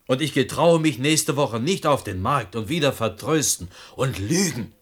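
Anxious, pleading potter, mid-pitched. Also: male voice, animated, normal speed, slightly rough. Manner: earnest, insistent, pleading. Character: humble, anxious male potter, stubbornly pleading. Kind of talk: German radio play acting.

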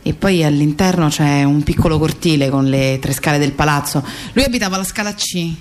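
A woman's voice: sits low, fairly quick, natural voice. An actress playing a woman who remembers her murdered friend in an intimate, conversational radio theatre monologue with small hesitations. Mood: recounting.

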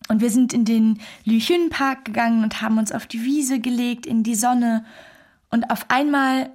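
Earnest woman remembering a rocky relationship, highish voice, thoughtful and measured, radio drama. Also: darkish tone. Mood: tender, nostalgic. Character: earnest Chinese woman recalling her troubled relationship. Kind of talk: documentary radio drama monologue.

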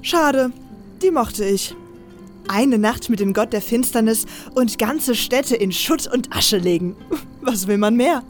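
A woman's voice: a little high, lively intonation, slow pace, soft background music. A woman playing a confident, teasing female assassin with a mocking manner in an audio drama. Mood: teasing, amused. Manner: mocking, playful, self-assured. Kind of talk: German fan audio drama acting.

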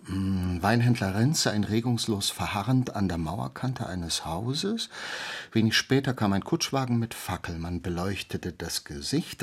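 An actor in a German radio play playing a stolid town official on an investigation, speaking in a dry, methodical, official way. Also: male voice, on the low side, slightly rough.

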